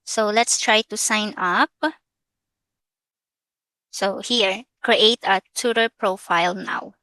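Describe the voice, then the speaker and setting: Slow-talking Filipina vlogger, bright dry voice. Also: female voice, medium pitch, steady tone, clean tone, no room sound, poor audio. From Philippines. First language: Tagalog. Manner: hesitant, mixing English with Tagalog, frequent fillers. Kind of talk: casual screen-share tutorial.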